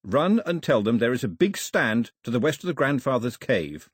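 Male narrator as young male island chief, storybook character, serious, proud, measured, husky, close dry sound. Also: mid-range voice, big pitch swings, no echo. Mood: grave.

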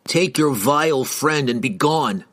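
Man as a haughty, hostile mounted guardsman, lively. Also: medium pitch, unhurried, voice pushed up, natural voice. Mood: taunting. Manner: pompous, sneering, archaic formal speech. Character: haughty, hostile male town rider, leader of patrol. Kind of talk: fantasy audiobook character voice.

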